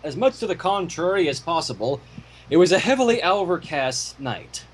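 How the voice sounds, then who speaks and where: A man's voice: on the high side, very animated, moderate pace, slightly rough, rough recording. A man hosting a fan fiction reading, delivering the story with irreverent, profane asides. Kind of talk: story reading with casual commentary.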